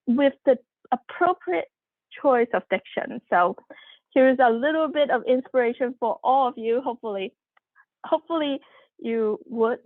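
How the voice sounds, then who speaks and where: A woman's voice: fairly high pitch, dry recording. A woman whose first language is Cantonese, giving an online workshop in a conversational way with many fillers and restarts, speaking over a video call. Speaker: workshop presenter. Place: home room, video call. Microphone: computer mic on video call. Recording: tinny phone-like audio.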